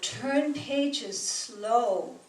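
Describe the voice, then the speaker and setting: A woman poet whose first language is German reads her work at a very slow, deliberate pace, pausing between phrases. Her voice sits in the middle of the range. It is picked up from across a roomy space, with faint noise underneath. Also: fairly high pitch, darkish tone, distant mic. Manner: slow, measured delivery with pauses. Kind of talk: poetry reading at a live event. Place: bookstore reading room with an audience.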